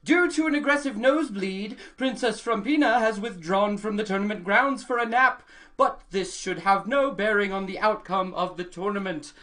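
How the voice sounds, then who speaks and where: A woman playing a pompous royal tournament herald in a comic radio play, making deadpan ceremonial proclamations. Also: medium pitch, normal speed, close to an everyday voice.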